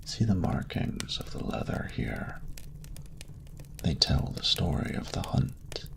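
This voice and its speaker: A man voicing a proud, flirtatious male dragon in an intimate romantic audio roleplay, with a gravelly, half-whispered rumble and formal, grandiose, unhurried phrasing. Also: very animated, exaggerated voice. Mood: hushed, reverent storytelling.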